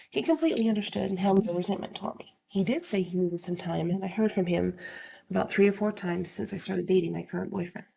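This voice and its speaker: A woman with a slightly hoarse voice casually reading online stories aloud as host and commenting off the cuff. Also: lowish voice, a little mellow. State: slightly hoarse, getting over illness.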